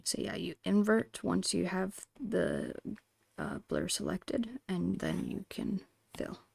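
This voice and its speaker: A woman murmurs through an ASMR drawing session in a breathy, often whispered voice. Her speech is very slow and full of heavy creak. The sound is close and dry, as she narrates in short, soft phrases. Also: mid-range voice, dry recording. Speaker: digital artist hosting an art channel.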